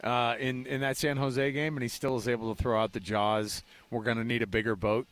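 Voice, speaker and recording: American male sports radio host, medium pitch, casual and chatty delivery. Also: flat delivery, fairly quick, fairly bright tone.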